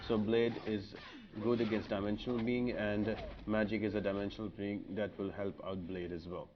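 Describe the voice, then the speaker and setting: A man's voice: lowish voice, flat delivery, moderate pace, muffled recording, slight background noise. A man narrating a video game walkthrough as a guide, explaining tactics step by step.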